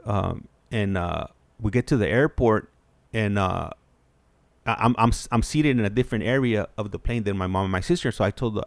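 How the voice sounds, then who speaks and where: A man's voice: lowish voice, creaky, dry recording. A man, chatting casually and animatedly, repeating phrases and dropping in Spanish slang. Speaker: host. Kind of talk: casual chat, storytelling with slang.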